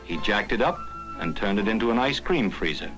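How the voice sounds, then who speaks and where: 1940s American newsreel narrator over music. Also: male voice, on the low side, animated, brisk, rough recording. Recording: 1940s film soundtrack with prominent music.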